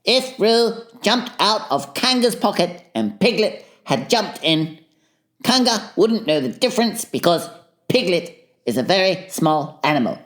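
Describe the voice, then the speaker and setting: A man's voice: sits high, big pitch swings, measured pace, fairly bright tone, voice pushed up, full character voice. A man voicing a bossy, self-assured rabbit who lays out plans in an orderly way, in a dramatized storybook. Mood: officious, proud.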